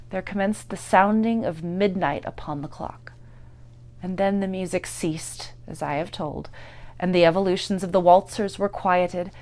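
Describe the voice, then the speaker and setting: A woman narrating a classic literary tale in a theatrical, deliberate way, swelling loud and dropping to hushes. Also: mid-range voice. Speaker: actor and narrator. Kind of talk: dramatic literary story narration.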